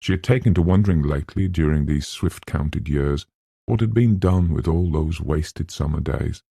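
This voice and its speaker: A man narrating a literary novel as an audiobook in measured, scripted storytelling. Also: extremely low pitch, creaky, mellow, husky, no room sound, clean studio sound.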